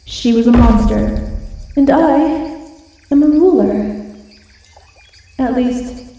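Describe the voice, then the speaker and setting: A woman playing a proud, feral but soft-hearted giant wolf girl in an ASMR roleplay, with breathy, dramatic pauses. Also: on the high side, a little hiss or hum. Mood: imperious, proud. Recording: very echoey, distant from the mic.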